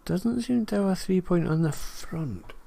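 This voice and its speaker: A man's voice: high voice, animated, a little slow, vocal fry, warm tone. A man giving rambling, self-deprecating commentary over a farming game, full of fillers. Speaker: gaming video creator. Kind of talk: casual gameplay commentary.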